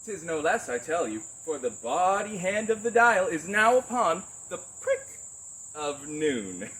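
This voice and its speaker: A man's voice: fairly high pitch, very animated, a little slow, fairly bright tone, low-quality recording. A man acting a witty, mocking young nobleman in a Shakespearean stage style, theatrical and declamatory. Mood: bantering.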